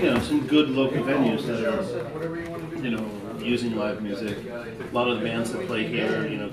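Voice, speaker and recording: A man being interviewed as a venue owner, chatting casually in a rambling way and trailing off mid-thought. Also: on the low side. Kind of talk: casual chat. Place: busy live music restaurant and bar. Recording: echoey live venue, distant mic, loud crowd.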